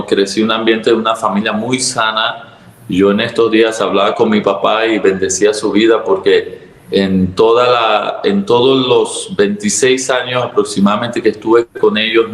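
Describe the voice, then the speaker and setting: A man's voice: lowish voice, roomy sound. A man in his thirties from Venezuela with a Venezuelan Spanish accent, a pastor telling his testimony in an earnest, reflective way. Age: 30s. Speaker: pastor, guest. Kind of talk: testimony interview.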